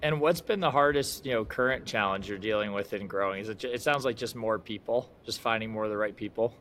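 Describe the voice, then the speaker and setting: A man's voice: on the low side, fast, fairly bright tone, a little hiss or hum. A man hosting a business podcast, curious and friendly, asking long, winding questions with several parts. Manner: curious, asks long multi-part questions. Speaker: podcast host. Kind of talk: interviewing a guest.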